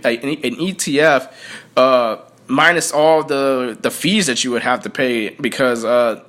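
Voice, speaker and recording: A young man in the middle of his pitch range talks loosely to his viewers, as if thinking aloud. He rambles, stacks up fillers and keeps restarting his sentences. The tone is plain and conversational. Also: unhurried, fairly bright tone. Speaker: personal finance vlogger. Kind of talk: casual unscripted talk to viewers.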